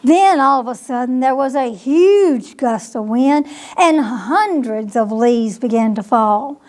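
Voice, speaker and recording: A woman narrates a children's bedtime story in a high voice. She takes her time and reads expressively, often turning to young listeners with a question. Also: lively intonation, takes it slowly, a little mellow. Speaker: storytime reader at a library.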